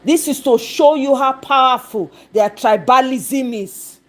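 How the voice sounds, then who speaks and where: A woman with a Nigerian accent hosts a casual live reaction stream. Her voice sits fairly high, and she comments in exclamatory asides, mixing in some Pidgin. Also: a little slow, a little hiss or hum.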